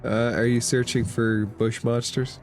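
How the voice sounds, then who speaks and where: A man acting as dungeon master, narrating a tabletop game casually with a deadpan manner. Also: mid-range voice, a little slow. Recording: game music playing behind.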